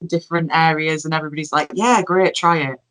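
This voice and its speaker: A woman's voice: low-pitched, fairly even intonation, fast talker, fairly bright tone. A woman, asking rambling, conversational questions as an interviewer.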